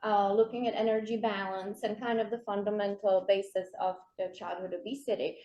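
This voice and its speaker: Czech-accented woman giving a lecture. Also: mid-range voice. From Czech Republic. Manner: many fillers, warm self-deprecating asides. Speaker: nutrition professor. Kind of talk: keynote lecture. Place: lecture room. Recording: dull lo-fi sound.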